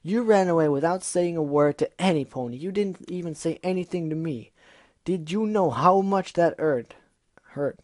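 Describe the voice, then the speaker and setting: A man voicing an angry young female griffin who shouts and accuses, loud and blunt in every line. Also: medium pitch, animated, moderate pace, no room sound, clean studio sound. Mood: accusing.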